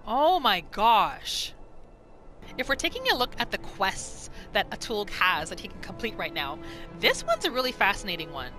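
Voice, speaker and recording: A woman giving casual, expressive gameplay commentary as a roleplay host. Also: mid-range voice, moderate pace, faint music behind. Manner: expressive, exclamatory.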